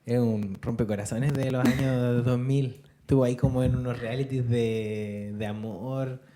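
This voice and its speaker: A woman's voice: extremely low pitch, steady tone, unhurried, background noise. A woman in her early thirties with a Chilean accent chatting casually and playfully as a podcast host, using informal slang. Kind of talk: casual chat.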